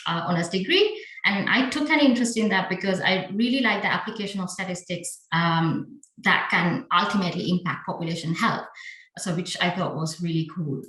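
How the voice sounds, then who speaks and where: A woman's voice: on the low side, fairly bright tone, echoey room, poor audio. A young woman from Sri Lanka, a biostatistician, giving a friendly talk with slides and many fillers. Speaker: conference speaker, biostatistician.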